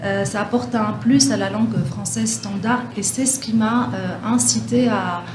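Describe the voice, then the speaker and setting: A woman professor, born in France, answering radio interview questions in a thoughtful way with many pauses and restarts. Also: mid-range voice. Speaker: French professor, guest. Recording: echoey room with steady noise.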